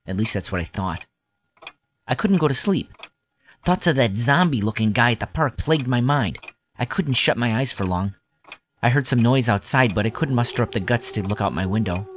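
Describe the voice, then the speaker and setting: A man's voice: medium pitch, lively intonation, normal speed, a little hiss or hum, close to an everyday voice. A man playing a grown-up wryly recalling his boyhood in scripted first-person audio drama narration. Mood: wry, nostalgic. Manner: wry, nostalgic storytelling.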